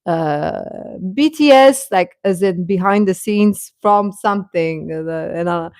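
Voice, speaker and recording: A woman hosting a casual fan livestream, rambling and halting as she replies to comments, often with a chuckle in her talk. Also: on the low side, darkish tone, dry recording, clean studio sound. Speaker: host of a K-pop fan channel.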